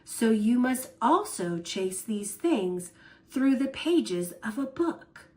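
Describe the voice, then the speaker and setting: Woman voicing a quiet, wise old grandfather. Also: on the high side, sing-song. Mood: thoughtful, tender. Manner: quiet, thoughtful, slow and tender. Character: gentle, wise old grandfather sharing lessons. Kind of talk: storybook character voice.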